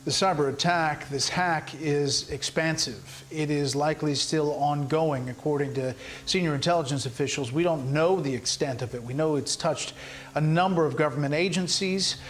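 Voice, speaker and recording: A male television reporter with a slightly high voice delivers live news. He moves between reading and explaining on the fly. There is faint noise behind him in the news clip. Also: normal speed.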